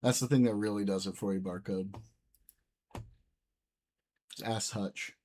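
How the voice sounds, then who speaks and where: A man's voice: lowish voice, steady tone, a little slow, dark tone. Casual, profane young male streamer. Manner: loose, profane banter with viewers. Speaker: livestream host reading chat. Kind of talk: casual live-stream chat.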